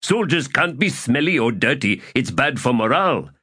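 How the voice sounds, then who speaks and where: A male narrator playing an excitable, wheedling soldier who cheats at dice and pleads with mock innocence. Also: medium pitch, slow, slightly rough, voice pushed up, heavily acted. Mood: hurt innocence.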